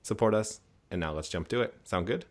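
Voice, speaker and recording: Deep-voiced male podcast host. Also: brisk. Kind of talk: interview questions and promos.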